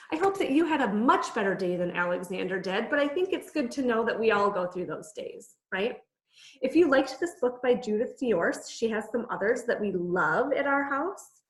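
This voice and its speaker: A woman reading a picture book aloud to children in an animated, expressive bedtime-story style with dramatic emphasis. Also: medium pitch, a little hiss or hum. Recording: echoey room.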